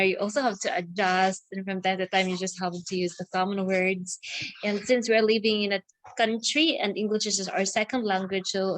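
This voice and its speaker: A woman's voice: mid-range voice, dry recording, low-quality recording. A woman in her twenties from the Philippines with a Filipino accent, an English teacher leading a casual online lesson, friendly and encouraging, often ending points with "right?". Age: 20s.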